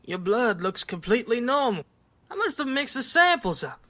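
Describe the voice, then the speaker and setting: A woman playing an excitable, absorbed scientist doctor in an audio drama, precise and given to muttering. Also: on the high side, normal speed, slight background noise. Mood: eager, puzzled.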